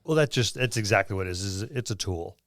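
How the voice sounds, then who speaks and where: A man's voice: fairly low pitch, expressive, fast, a bit bright, no room sound, clean studio sound. A man hosting a casual tabletop role-playing podcast, talking in a rambling way and explaining things through examples. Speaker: podcast host and experienced game master.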